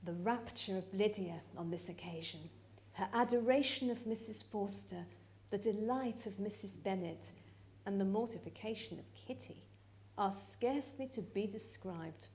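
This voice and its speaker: Woman, mellow tone, medium pitch, measured, expressive, literary storytelling. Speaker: actress reading a novel aloud. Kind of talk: literary narration, live dramatic reading. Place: live reading event.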